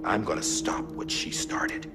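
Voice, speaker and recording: An American man playing a weary middle-aged government director and haunted veteran, making a grave, halting confession in a game cutscene. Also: a little high, very animated, in a whisper. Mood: grim resolve. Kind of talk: dramatic video game cutscene monologue. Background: dramatic music.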